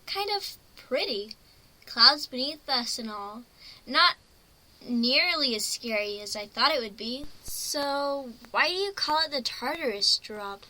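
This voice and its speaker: Very high, plaintive female unicorn acted by a woman. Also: expressive, unhurried, bright, forceful tone, heavily acted, rough recording. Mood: timid relief. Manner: plaintive, dramatic, emotional. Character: frightened, indignant young female unicorn.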